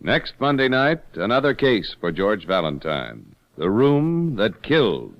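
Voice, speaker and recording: A male radio announcer reading ads and credits in a smooth, salesmanlike manner. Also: lowish voice, very animated, measured pace, heavy background noise. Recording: dull old radio broadcast with music.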